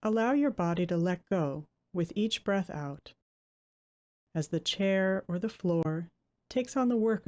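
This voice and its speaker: Female meditation guide, lowish and very soft-edged in tone, gentle, unhurried delivery with generous pauses.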